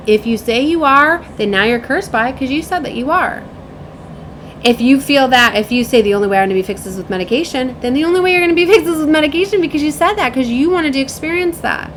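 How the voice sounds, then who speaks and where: A woman's voice: sits high, expressive, brisk, a bit bright, slight background noise. A woman in her late thirties giving a casual, rambling spiritual reading as a tarot reader, with an emphatic manner.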